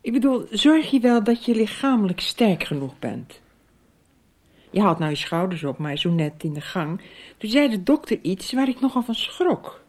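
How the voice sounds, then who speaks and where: A woman playing a concerned, loving but firm mother in a radio soap, gentle and earnest. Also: mid-range voice, very animated, moderate pace.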